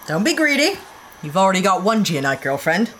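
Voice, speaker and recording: A woman's voice: mid-range voice, big pitch swings, fairly quick, a bit bright, a little hiss or hum. A woman playing a blunt, sarcastic space adventurer who trades insults in an audio drama. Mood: brisk. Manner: curt and sarcastic, snaps insults.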